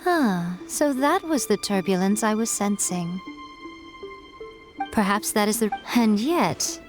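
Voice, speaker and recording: A woman's voice: medium pitch, expressive, slow pace, over music. A woman voicing a sly, teasing shrine priestess with a fox-spirit bent in a video game, playful and knowing in how she speaks.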